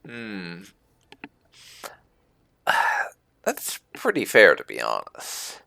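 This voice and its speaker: A man's voice: sits low, wildly swooping pitch, extremely slow, vocal fry, pushed and bright. A man giving casual in-character roleplay commentary on a video game, musing wryly with muttered asides. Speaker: gaming channel host.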